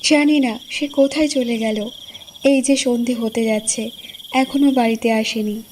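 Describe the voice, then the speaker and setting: A woman narrating a cartoon story and voicing a mother in an earnest, gentle way. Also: on the high side, fairly even intonation, a little slow, music in the background.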